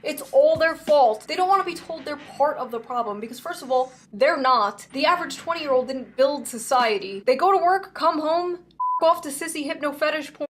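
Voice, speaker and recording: A woman delivering sarcastic, mocking online commentary in a brisk way. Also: high-pitched, fairly bright tone. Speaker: video commentator.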